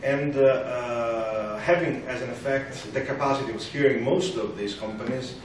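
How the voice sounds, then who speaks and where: Halting man in an echoey room. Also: medium pitch, fairly even intonation. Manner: halting, hesitant delivery with frequent repeated words. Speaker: panel speaker. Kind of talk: political round-table address. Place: large parliament meeting room, indoors. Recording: roomy, distant, noisy conference recording.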